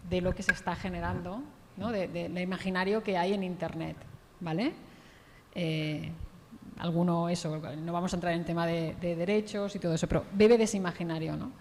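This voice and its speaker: Reverberant hall, faint noise; female panel speaker, medium pitch, halting, keeps checking for agreement. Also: fairly even intonation. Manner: halting, seeks agreement with frequent question tags. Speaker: journalist on a discussion panel. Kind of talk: spontaneous panel discussion.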